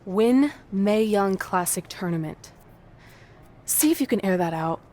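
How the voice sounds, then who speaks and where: A woman's voice: mid-range voice, animated, a little slow, warm tone, close to an everyday voice. Woman playing a sarcastic, driven young wrestler. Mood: exasperated. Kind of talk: scripted game cutscene dialogue.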